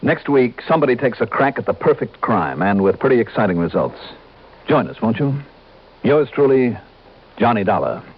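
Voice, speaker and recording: An actor playing a confident insurance investigator, clipped and pointed, in old-time radio drama acting and narration. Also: male voice, mid-range voice, very animated, normal speed, slight background noise, natural voice. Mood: wry, brisk narration. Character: brisk, fearless male insurance investigator. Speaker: star and narrator of detective series. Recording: muffled old radio broadcast.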